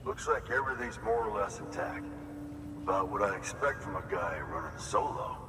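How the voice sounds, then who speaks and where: An actor playing a gruff, folksy outpost worker in a video game, speaking plainly and bluntly. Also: male voice, fairly low pitch, animated, normal speed, close to an everyday voice. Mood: gruff, casual. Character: gruff, folksy grown man working a frontier outpost. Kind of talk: video game cutscene acting. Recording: roomy echoing hall, distant mic, ambient noise.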